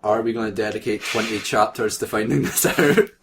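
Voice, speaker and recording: A man's voice: lowish voice, a little fast, vocal fry, background noise. A man reading fan fiction aloud for comedy, breaking off into sarcastic, sweary, giggly banter. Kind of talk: mocking comedic read-aloud with casual banter.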